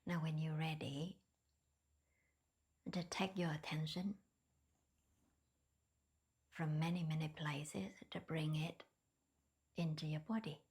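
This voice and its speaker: A woman leading a guided meditation in a soft, breathy near-whisper, with gentle, hesitant non-native phrasing. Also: sits low. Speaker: meditation teacher.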